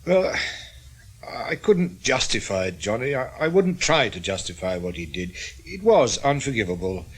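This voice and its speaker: Older British ex-spy for radio drama: husky voice, reflective, loyal, gently teasing. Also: male voice, mid-range voice, sing-song. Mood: quietly defensive. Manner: musing, measured, gently teasing. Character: wry, reflective older British ex-intelligence officer, loyal, world-weary. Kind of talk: naturalistic radio drama acting.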